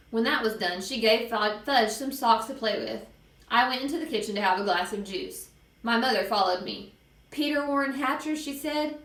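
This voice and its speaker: A woman reading a children's book aloud as an expressive storyteller. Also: medium pitch, roomy sound, low-quality recording.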